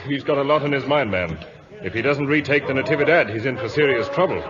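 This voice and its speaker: An actor playing a loyal, earnest British naval lieutenant in an old radio drama, polite and deferential. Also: male voice, medium pitch, fast talker, a crowd in the background, lightly acted. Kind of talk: 1950s radio drama acting. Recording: muffled old radio broadcast recording.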